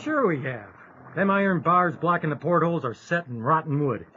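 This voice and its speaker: Weary American prisoner sailor, folksy, slightly high voice, dull recording, audio drama. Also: male voice, lively intonation, normal speed, bright, forceful tone, husky, exaggerated voice. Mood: plotting. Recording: dull recording, faint background noise.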